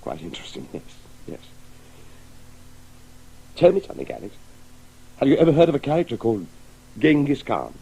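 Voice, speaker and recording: An actor playing a pensive, hesitant father in a radio drama, halting and reflective. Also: medium pitch, huge pitch swings, creaky, mellow, breathy edge, full character voice, poor audio. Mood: subdued, reflective. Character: pensive, hesitant father narrating his strange dreamlike adventures. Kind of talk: 1980s radio drama acting.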